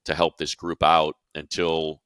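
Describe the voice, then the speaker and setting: A man's voice: extremely low pitch, monotone, unhurried, a bit bright, dry recording. An American man giving casual sports analysis as a co-host, thinking aloud conversationally and checking in as he goes.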